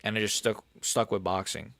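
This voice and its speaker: Low-voiced young man chatting on livestream. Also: fairly even intonation, normal speed, creaky, a bit bright, no echo. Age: early 20s. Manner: stumbling, repeats himself, slangy and profane. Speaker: livestream host answering chat. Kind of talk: casual livestream chat about combat sports.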